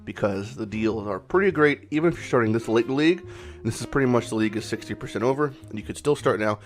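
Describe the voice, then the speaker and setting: A man with a raspy voice, hoarse from a cold, hosting a casual game commentary vlog in a rambling, off-the-cuff way with some swearing. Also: mid-range voice, fast, soft background music. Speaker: gaming channel host.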